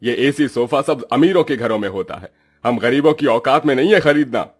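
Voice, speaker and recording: A man's voice: mid-range voice, animated, normal speed, breathy edge, dry recording, studio quality, soft background music. A man voicing a poor, weary middle-aged farmer father in a cartoon, grumbling and easily irritated. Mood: scolding. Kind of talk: Hindi moral-story cartoon voice acting.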